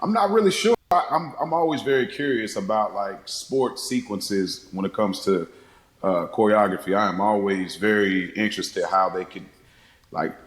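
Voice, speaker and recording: Low-voiced man in an echoey room. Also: moderate pace. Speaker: podcast panelist. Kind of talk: casual chat. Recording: roomy, echoey voice pickup.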